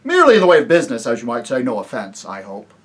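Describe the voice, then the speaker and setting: Boisterous and bellowing, this rough invisible chief as a reader puts him on booms his threats in broken rustic grammar. The voice leaps up high and swings all over. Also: huge pitch swings, racing. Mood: blustering. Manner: loud, blustering, rustic grammar.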